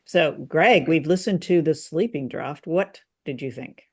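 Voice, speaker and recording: A woman co-hosting a casual discussion show, speaking in a thoughtful, halting way as she searches for words. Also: sits low, very noisy. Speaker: podcast co-host reviewing radio dramas.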